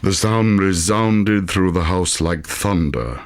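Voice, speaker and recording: Very deep, slow-reading male storyteller. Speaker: audiobook reader, actor. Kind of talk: dramatic storytelling, scripted narration of a classic tale.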